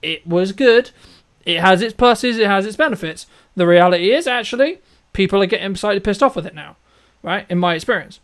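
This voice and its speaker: A high-voiced man hosts a conversational show. He argues his view emphatically, in casual speech, often repeating a phrase to press the point. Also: fairly quick, clear, resonant tone. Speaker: podcast host and filmmaker. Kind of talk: opinionated interview chat.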